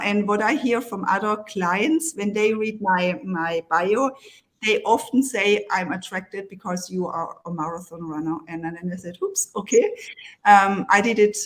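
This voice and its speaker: A woman's voice: mid-range voice, moderate pace, a bit bright, poor audio. A German woman speaking English with a German accent as a coach in a live online panel, hesitant, often restarting and laughing. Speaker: coach and guest. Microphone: video call.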